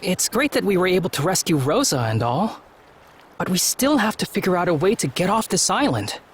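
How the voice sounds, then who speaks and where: A man's voice: high voice, very animated, a little fast, a little hiss or hum. A man playing an eager young adventurer in a video game. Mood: concerned. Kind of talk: scripted video game voice acting.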